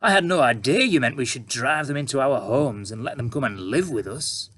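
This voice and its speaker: A man reading a storybook aloud and voicing a sly, flattering male journalist. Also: medium pitch, fairly quick, slightly rough, voice pushed up.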